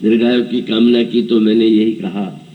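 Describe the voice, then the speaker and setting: A man's voice: fairly low pitch, level tone, moderate pace, distant mic, phone-quality sound, slight background noise. A man in his seventies giving a devotional scripture discourse, telling the story and acting out characters' dialogue. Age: 70s. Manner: storytelling, voices characters' dialogue and chants verses. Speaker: preacher.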